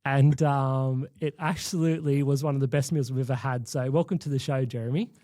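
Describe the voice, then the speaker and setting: A man hosting a podcast, sounding sniffly and a little croaky, chatting in an easygoing way. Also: mid-range voice, fairly even intonation, brisk, mellow, dry recording, clean studio sound. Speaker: podcast host. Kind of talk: casual interview chat.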